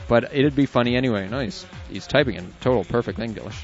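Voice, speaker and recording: Casual male radio co-host, mid-pitched, reads emails with chuckles, dull lo-fi sound with faint music behind. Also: fast talker. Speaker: internet radio co-host reading listener emails. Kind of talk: casual on-air chat.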